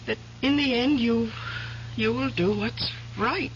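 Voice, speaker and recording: A stammering devoted wife. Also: female voice, a little high, takes it slowly. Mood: earnest. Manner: hesitant, stammering. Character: devoted, steady young wife. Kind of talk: 1970s radio drama acting. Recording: muffled old radio broadcast with hiss.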